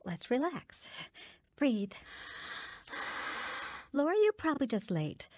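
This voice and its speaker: A woman's voice: high-pitched, very animated, slow pace, a little mellow, dry recording, studio quality, full character voice. An actress playing a pragmatic young woman in an audio drama, reassuring and coaxing her friend. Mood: soothing, urgent. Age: young adult.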